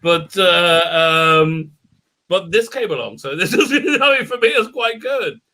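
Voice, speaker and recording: High, bright-voiced man stumbling through restarts. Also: lively intonation. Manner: halting, many restarts, laughs between points. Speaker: interviewer. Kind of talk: casual interview chat.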